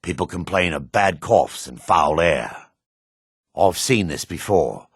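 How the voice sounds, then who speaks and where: An actor playing a jaded, sardonic male dark elf in a fantasy video game, with a husky voice. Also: sits low, animated, takes it slowly, no room sound, clean studio sound, exaggerated voice. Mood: weary. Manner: sardonic, disdainful. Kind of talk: video game character voice acting.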